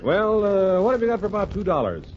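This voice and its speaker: A man's voice: high voice, sing-song, quick pace. An actor playing a thrifty, polite customer, a hesitant straight man in an old-time radio comedy. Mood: hesitant haggling. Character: thrifty, polite male Christmas tree customer. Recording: muffled, noisy old radio broadcast, loud audience.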